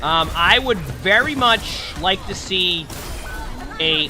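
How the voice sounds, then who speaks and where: A man streaming and coaching a player through gameplay, talking directly to them about their mistakes, a little hoarse from being under the weather. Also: sits high, expressive, slow pace, music in the background, rough recording.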